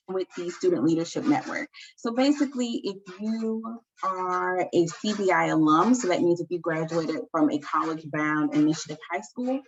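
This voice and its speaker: Lowish-voiced woman running a zoom workshop, chatty, lots of ums, kinda dull audio w/ a little hiss. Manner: chatty and upbeat, many ums and likes. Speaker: workshop host. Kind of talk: casual online workshop. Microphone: video call. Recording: slightly dull video call audio with faint noise.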